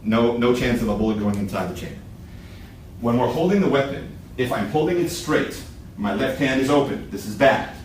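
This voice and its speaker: A man's voice: mid-range voice, brisk. Male instructor in a very echoey room. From Israel. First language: Hebrew. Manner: emphatic, repeats key points in short sentences. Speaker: military shooting instructor. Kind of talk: live lecture to a class. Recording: echoey room, distant mic, background noise.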